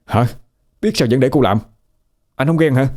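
A man's voice: mid-range voice, normal speed, darkish tone, natural voice. Man playing a chatty, nosy Southern Vietnamese ghost. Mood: flustered. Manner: talkative, fussy, flustered.

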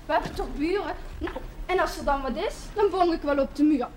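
An actress playing a plucky, frank sixteen-year-old daughter in a television period drama. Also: female voice, high voice, brisk, darkish tone, natural voice. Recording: faintly noisy 1970s television soundtrack.

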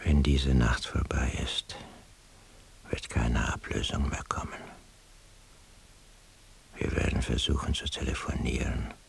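An actor playing an obsessive, bitterly servile eavesdropper in a radio play monologue, husky and intense. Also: male voice, rumbling low, full character voice. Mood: bleak, resigned. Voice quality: husky, gravelly, breathy half-whisper. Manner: intense, deliberate, theatrical.

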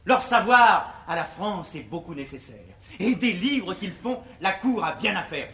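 An actor playing an ardent, witty young gentleman suitor, declaiming verse with biting emphasis in a classical French stage comedy. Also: high voice, lively intonation, unhurried, full character voice. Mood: scornful, indignant. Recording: echoey, noisy vintage 1950s theatre recording.

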